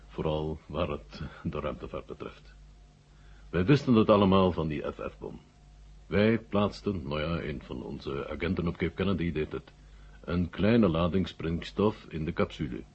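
Soviet marshal in an old radio play, super deep voice, formal n self-assured, dull old recording. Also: male voice, moderate pace, slightly rough, exaggerated voice. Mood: coolly confident. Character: authoritative Soviet marshal, courteous, shrewd host. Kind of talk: 1970 Dutch radio drama acting.

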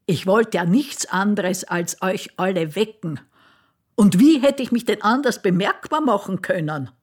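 Old mother, confused, plaintive, self-justifying, medium pitch, radio monologue. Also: lively intonation, unhurried, husky. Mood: plaintive, insistent.

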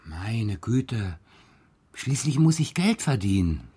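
A man's voice: on the low side, sing-song, takes it slowly, dark tone, husky, full character voice. An actor playing an evasive, dreamy, restless father in a children's radio drama, hesitant and stammering as he pleads his excuses. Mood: conceding.

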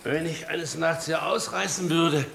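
An actor playing a weary, grumbling older farmhand father with a gravelly voice in a German radio drama. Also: fairly high pitch, steady tone, a little slow, noisy background. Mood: pleading, wistful. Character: weary ageing farmhand father, plain-spoken, grumbling yet wistful.

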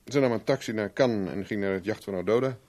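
An actor playing a wry, stubborn private investigator in a 1970s radio play, brisk and ironic. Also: male voice, fairly low pitch, darkish tone, natural voice. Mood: pensive.

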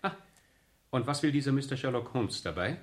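A man's voice: medium pitch, lively intonation, brisk, lightly acted. An actor playing a self-assured male store manager who is haughty and mockingly polite, in a German radio crime drama.

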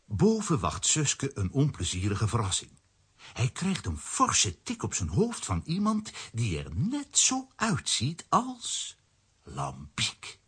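A man narrating a children's adventure audio drama in a measured storytelling manner, with a husky voice. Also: mid-range voice, wildly swooping pitch, a bit bright, exaggerated voice.

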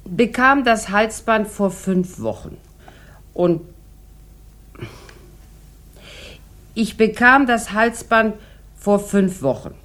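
A woman's voice: medium pitch, very animated, slow, fairly bright tone. A woman playing a bored, boozy rich housewife in a 1960s German radio drama, theatrical and sardonic, drawing out her rambling remarks. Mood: probing, wary.

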